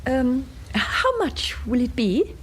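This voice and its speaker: An actress with a British accent playing a chirpy, doting housewife in a radio drama, fluttery and coaxing. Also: female voice, high voice, big pitch swings, takes it slowly, a little hiss or hum, heavily acted. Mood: eager. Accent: British English.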